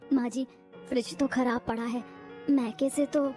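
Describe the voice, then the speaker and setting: A woman's voice: fairly high pitch, fairly even intonation, measured pace, dark tone, faint music behind, close to an everyday voice. A woman voicing a timid young wife in a cartoon who pleads and apologizes. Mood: pleading.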